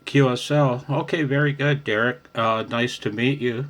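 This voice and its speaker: A man's voice: mid-range voice, steady tone, normal speed, a bit bright. An American man chatting casually and in a friendly way as an amateur radio operator, recorded on a phone. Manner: friendly, chuckling, says "man" often. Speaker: amateur radio operator filming for his channel. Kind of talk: casual ham radio conversation. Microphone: handheld phone.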